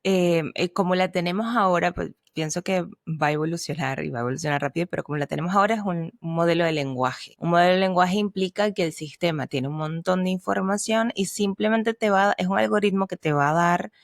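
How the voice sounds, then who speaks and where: A woman co-host with a mixed Spanish and Argentine accent talks through ideas in relaxed podcast conversation. She hesitates and restarts often as she explains. Her voice is recorded close and dry, with no room around it. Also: on the low side, dry recording. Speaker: podcast co-host, language teacher. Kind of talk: casual conversational chat.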